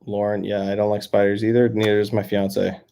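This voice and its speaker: Young Canadian guy with a Canadian accent and a lowish voice, hosting a rambling, casual live stream outdoors. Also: flat delivery, brisk, creaky, warm tone, a little hiss or hum. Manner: rambling, filler-heavy, many restarts. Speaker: livestream host. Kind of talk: casual livestream chat reading comments. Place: outdoors near a lake.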